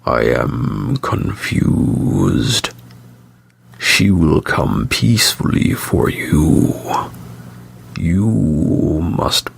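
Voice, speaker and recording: A man voicing a hulking, menacing reptilian Santa creature that hisses its words slowly and insistently. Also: big pitch swings, no echo, exaggerated voice. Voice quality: deep gravelly hiss.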